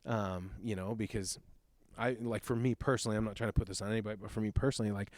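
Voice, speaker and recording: Earnest low-voiced man in his thirties. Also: fairly even intonation, a little mellow, no room sound, clean studio sound. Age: 30s. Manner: earnest, reflective, halting speech. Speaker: youth pastor sharing his testimony. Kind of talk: personal testimony in a conversation.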